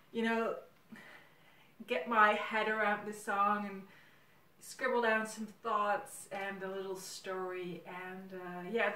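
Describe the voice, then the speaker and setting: A woman's voice: medium pitch, bright, forceful tone. A middle-aged native Dutch speaker, a woman hosting a casual video series, thinking aloud hesitantly in a room at home. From Netherlands. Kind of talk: casual monologue to camera. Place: small room at home, indoors. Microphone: camera several feet away. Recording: roomy, distant camera microphone.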